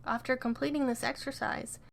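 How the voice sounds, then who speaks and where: A woman narrating a scripted guided meditation in a gentle, soothing and encouraging way. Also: sits high, fairly even intonation, fairly quick, creaky.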